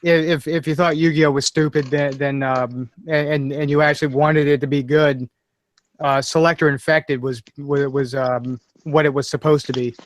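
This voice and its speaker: A man with a slightly high voice who stumbles and restarts his sentences. His mic sounds dry and close. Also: steady tone, no room sound. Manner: halting, many restarts. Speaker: guest. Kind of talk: casual group chat on a stream.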